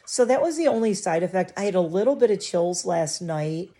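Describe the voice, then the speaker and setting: A middle-aged woman talks to her viewers from her living room in a loose, rambling way, often cutting herself off mid-sentence. Her voice sits low and gravelly with a dark, mellow tone. She sounds a little stuffy, and her throat is scratchy. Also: lively intonation, brisk. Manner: rambling, chatty, self-interrupting. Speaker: vlogger. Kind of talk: casual chat to camera.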